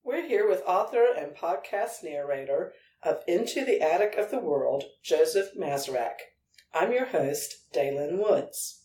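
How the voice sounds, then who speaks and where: A woman's voice: on the low side, expressive, a little slow, mellow. A woman hosting a conversational podcast interview, asking questions. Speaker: guest host, interviewer. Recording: roomy echo, far from microphone.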